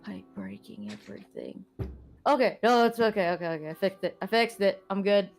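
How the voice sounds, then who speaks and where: Female game streamer: lively, swooping delivery, giggly casual banter, faint music behind. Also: mid-range voice, fairly quick. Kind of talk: casual chat while gaming.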